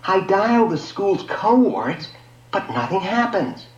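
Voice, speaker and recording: A man's voice: high-pitched, sing-song, unhurried, voice pushed up. A man voicing a reluctant, whining young boy in a futuristic radio story, protesting in slangy, sulky phrases. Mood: puzzled, frowning. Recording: dull lo-fi audio, echoey room.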